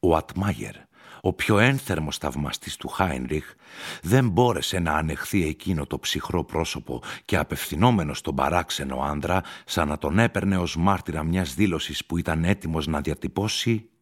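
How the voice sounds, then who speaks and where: A man narrating a literary story dramatically, with slow and deliberate delivery. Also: extremely low pitch, expressive, breathy edge.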